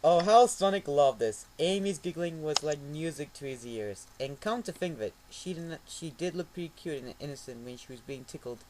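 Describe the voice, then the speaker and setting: A man reading a fan story aloud in a mocking amateur dramatic reading, with ironic asides and shouted outbursts. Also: a little high, lively intonation, moderate pace, few pauses, slight background noise, poor audio. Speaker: reader.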